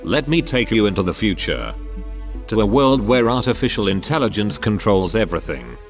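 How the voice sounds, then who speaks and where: A man's voice: fairly low pitch, sing-song, moderate pace. A man narrating a science fiction audio drama from a script, in a dramatic storytelling style. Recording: music under the voice. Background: music.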